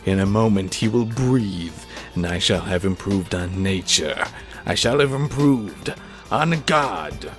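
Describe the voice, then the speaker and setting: A man's voice: sits low, expressive, takes it slowly, faint music behind. A man playing an obsessed, arrogant scientist in a grandiose, theatrical amateur audio drama. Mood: triumphant. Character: obsessed, arrogant scientist who creates a monster.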